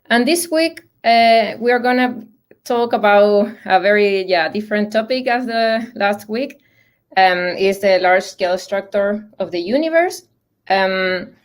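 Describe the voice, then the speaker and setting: A woman from Chile with a Chilean accent, a Spanish speaker in her thirties, giving an online lecture over a video call in a friendly way with many fillers. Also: medium pitch.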